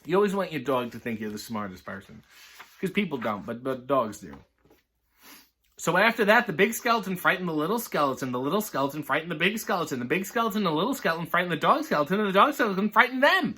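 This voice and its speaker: A man reading a children's picture book aloud, breaking off for sardonic, deadpan comic asides. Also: on the high side, fast talker, husky.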